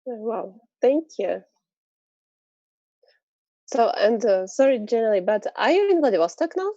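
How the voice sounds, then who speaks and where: A woman hosting a video call as a teacher, giving quick, encouraging replies. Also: a little high, expressive, mellow, dry recording. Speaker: host teacher. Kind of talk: casual video-call chat. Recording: muffled video-call audio.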